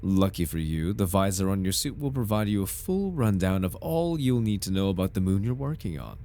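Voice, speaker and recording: Man playing a suave, swooping low-voiced corporate recruiter. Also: very animated, a little fast, dark tone. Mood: reassuring, smooth. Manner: formal, unctuous, theatrical salesman's patter. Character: suave, over-polite male corporate recruiter, slyly upbeat salesman. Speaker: voice actor in an audio roleplay. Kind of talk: ASMR roleplay, scripted in-character monologue.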